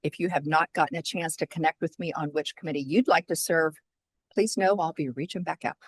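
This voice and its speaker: Low-voiced woman chairing a board meeting. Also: breathy edge, dry recording. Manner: procedural, measured.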